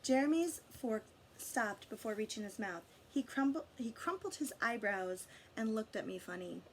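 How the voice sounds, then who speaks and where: A woman with a fairly high voice reads a children's story aloud in an expressive way. She addresses young listeners directly, with questions and guidance. There is noticeable noise in the recording. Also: moderate pace. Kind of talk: expressive picture-book read-aloud.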